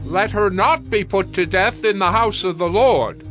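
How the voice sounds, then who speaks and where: A man acts out a stern priest of ancient Judah in an audio Bible drama. The voice is high and forceful, declaiming orders with firm command over faint music. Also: measured pace.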